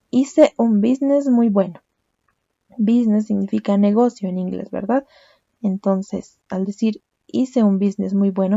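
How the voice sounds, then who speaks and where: A woman's voice: mid-range voice, vocal fry, mellow, no echo, lo-fi sound. A young woman teaching a language lesson, explaining step by step and often checking with a questioning "¿no?". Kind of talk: recorded lecture.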